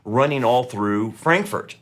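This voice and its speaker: Rambling friendly middle-aged host. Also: male voice, medium pitch, expressive, measured pace, a bit bright. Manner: friendly, rambling questions with restarts. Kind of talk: conversational interview hosting.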